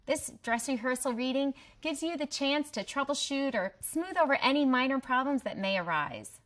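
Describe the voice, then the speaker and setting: Woman, high voice, scripted promo narration, presenter. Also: animated, moderate pace, a bit bright. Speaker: host presenter.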